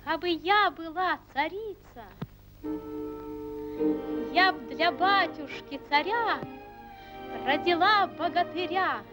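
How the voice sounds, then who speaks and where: A gentle young fairy-tale maiden, very high and unhurried. She recites dreamy verse in old cartoon style, with violin music behind her on an aged 1940s soundtrack. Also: rough recording. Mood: tender, dreamy. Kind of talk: 1940s animated film acting, verse.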